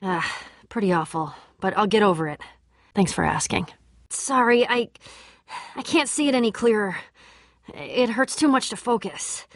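A woman's voice: mid-range voice, normal speed, pauses often, no echo, clean studio sound, close to an everyday voice. An actress playing a tough, guarded young female adventurer in a video game, casual, candid and dryly sarcastic. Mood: strained, puzzled. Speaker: game voice actor. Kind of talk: video game character dialogue.

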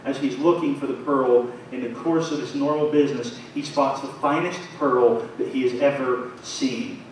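A man in his thirties preaching a sermon in a small church, his phrases rising and falling in a measured way. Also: medium pitch, fairly even intonation. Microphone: far camera, pulpit mic. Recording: very echoey church from far off, faint noise.

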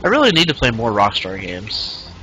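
Bright-voiced young man streaming casually. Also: lowish voice, huge pitch swings, normal speed, slight background noise, low-quality recording. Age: 20s. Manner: rambling, reads chat aloud, many fillers. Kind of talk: casual live-stream chat while gaming.

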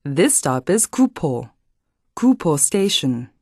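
A woman reading formal scripted subway announcements in a measured, deliberate manner. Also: low voice, very animated, darkish tone, no room sound, clean studio sound.

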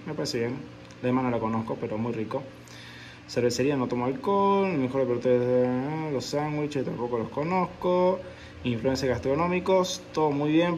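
A young man talks casually into a phone held at arm's length in a small room. His pitch stays at an ordinary middle level, and his manner is offhand and chatty. A faint hiss of background noise sits under his voice. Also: darkish tone. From Argentina. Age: young adult. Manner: chatty, offhand, repeating that he does not know the places. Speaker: food and travel vlogger. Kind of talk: casual reaction video. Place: indoors, small room at home. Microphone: phone camera at arm's length.

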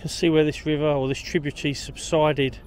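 A man's voice: a little high, flat delivery, fairly quick, darkish tone, slight background noise. A middle-aged man, chatting casually outdoors into a handheld camera as a walking vlogger. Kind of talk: casual walk-and-talk to camera. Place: outdoors on canal paths and country trails. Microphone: handheld camera at arm's length.

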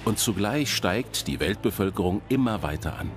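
Low-voiced, animated male documentary narrator. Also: moderate pace. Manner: addresses the audience directly with rhetorical questions. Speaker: documentary voice-over presenter. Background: background music.

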